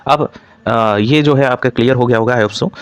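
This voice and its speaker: A man's voice: mid-range voice, moderate pace, clean tone. A man giving an informal analytical lecture as a coaching instructor, mixing in English terms and repeating points as he explains. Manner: Hindi mixed with frequent English terms.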